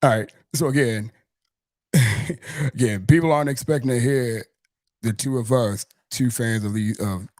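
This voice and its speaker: A man's voice: mid-range voice, unhurried, pauses often, darkish tone, dry recording, studio quality. A man from Mississippi, hosting a casual sports fan chat in a laid-back, slangy way, often restating himself. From Mississippi, United States. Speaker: host of a sports fan show.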